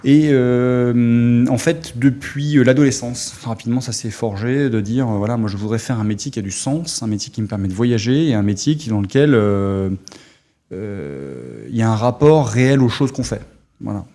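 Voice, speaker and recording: A middle-aged man from Alsace, a former army officer, talking as an interview guest in a halting way with many fillers. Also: medium pitch, mellow. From Alsace, France. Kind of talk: casual interview talk.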